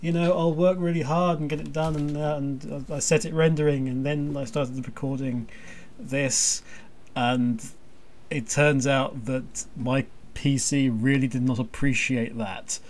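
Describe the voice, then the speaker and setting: A man's voice: medium pitch, fairly even intonation, measured pace, slight background noise. A man hosting a podcast in casual chat, with a wry, self-deprecating, grumbling manner. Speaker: podcast host.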